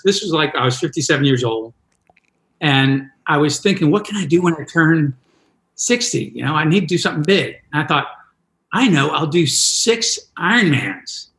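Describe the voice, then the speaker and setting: A man's voice: fairly high pitch, a bit bright, roomy sound, rough recording. A man in his sixties, a triathlon coach, telling a self-deprecating story with many restarts in a podcast interview. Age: 60s.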